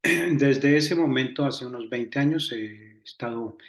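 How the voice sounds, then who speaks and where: Older man, measured, hesitant scientist guest. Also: mid-range voice, reverberant. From Colombia. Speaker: entomologist guest. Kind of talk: interview answers.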